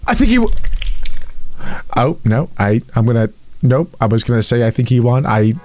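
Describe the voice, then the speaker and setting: A man's voice: medium pitch, sing-song, moderate pace, a little mellow. A man giving casual, slangy reaction commentary as a video host. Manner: slangy, addresses viewers as bro and boys. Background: music.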